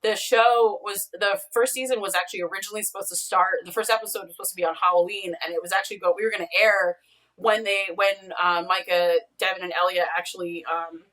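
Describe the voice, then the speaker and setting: Female podcast creator as interview guest: mid-pitched, conversational and rambling, recorded at some distance over a video call. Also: steady tone, fast, fairly bright tone. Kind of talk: casual interview chat. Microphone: video call, away from the mic.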